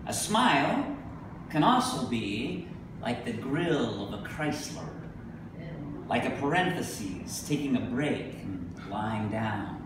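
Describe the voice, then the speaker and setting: A middle-aged man giving a live poetry reading, speaking deliberately with emphatic pauses. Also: medium pitch, sing-song. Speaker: poet. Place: indoor venue with a staircase, live reading. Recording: echoey room from a distance, noisy.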